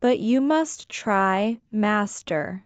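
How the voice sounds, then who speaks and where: A woman language teacher slowly repeating scripted lines for shadowing practice, enunciating each one with care. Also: medium pitch, lively intonation, clean tone, no room sound. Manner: careful, deliberate enunciation.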